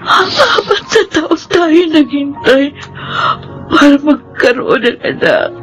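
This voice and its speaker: High-voiced, tender Filipino wife. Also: female voice, expressive, slow, fairly bright tone, exaggerated voice. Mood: grieving. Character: grieving, tender married woman who is expecting a baby. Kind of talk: radio drama acting. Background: music.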